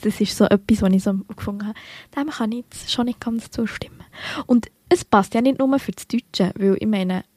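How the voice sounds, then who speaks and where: A woman's voice: medium pitch, moderate pace, darkish tone, no room sound. A young woman from Switzerland with a Bernese Swiss German accent, co-hosting a podcast in casual, chatty talk full of fillers and self-corrections. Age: young adult. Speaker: podcast co-host. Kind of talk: casual literary podcast chat.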